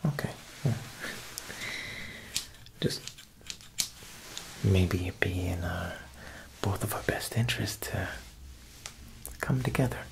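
A young man playing an Italian mob boss tailor in a whispered ASMR roleplay, with an Italian-American accent and a smooth, insinuating manner. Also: sits low, background noise, heavily acted. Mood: sly, negotiating. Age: young adult. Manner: slow, hushed, insinuating, with pauses.

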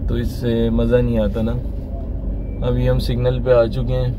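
A man's voice: medium pitch, completely flat delivery, moderate pace, recorded from a distance. A man in his thirties casually narrating a car drive for viewers, filmed on a phone inside a moving car. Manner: chatty, addresses viewers as friends. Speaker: vlogger. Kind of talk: casual driving commentary. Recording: dull lo-fi audio with loud road noise.

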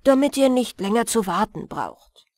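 A woman voicing a stern but soft-hearted young male hunter in a measured storybook reading. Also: a little high, lively intonation, dry recording, studio quality, natural voice. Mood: firm.

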